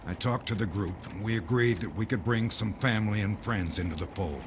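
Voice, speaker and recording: An actor playing an earnest, secretive doomsday believer who speaks gravely and insistently in a dramatic audio play. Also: male voice, fairly low pitch, fairly quick, noisy background, natural voice. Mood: guarded.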